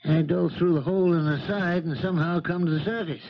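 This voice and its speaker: A man's voice: fairly high pitch, fairly even intonation, a little fast, natural voice. A man acting an old charter boat captain in a 1970s radio drama, folksy and plain-spoken, shaken and indignant. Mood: grim recounting. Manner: folksy, ungrammatical working-man speech. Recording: muffled vintage radio broadcast recording.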